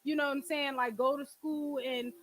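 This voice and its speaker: A woman's voice: sits high, steady tone, normal speed, a bit bright. A woman, talking candidly and emphatically about personal matters in slang with plenty of swearing. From Philadelphia, United States. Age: 20s. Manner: blunt, emphatic, street slang, frequent swearing. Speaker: podcast and call-in show host. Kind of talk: casual, candid relationship talk.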